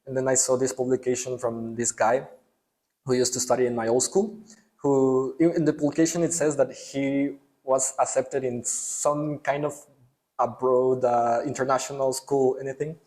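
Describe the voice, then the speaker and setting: A man's voice: medium pitch, little pitch movement, normal speed, darkish tone. Young guy from el salvador chatting in an interview, rambles a lot, lots of ums. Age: young adult. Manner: rambling, lots of fillers and restarts. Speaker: interview guest, student. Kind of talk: casual conversational interview.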